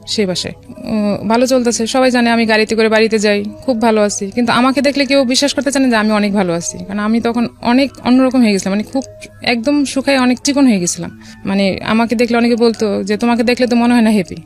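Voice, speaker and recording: A middle-aged woman with a Bangladeshi accent tells a personal story in a radio interview, conversationally, with some English words mixed in. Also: on the high side. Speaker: guest telling her life story. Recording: music behind the voice. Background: background music.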